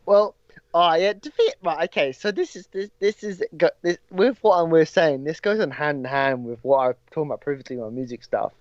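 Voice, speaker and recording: A man's voice: a little high, brisk, dry recording. A twenty-year-old man from England, a podcast guest who chats casually and rambles with frequent restarts. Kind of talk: casual chat. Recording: dry, slightly dull audio.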